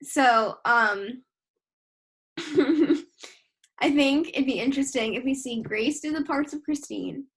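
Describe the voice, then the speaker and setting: A woman's voice: sits high, takes it slowly, long pauses, a bit bright. A young woman in a casual chat, hesitant and giggly as she thinks aloud. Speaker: co-host.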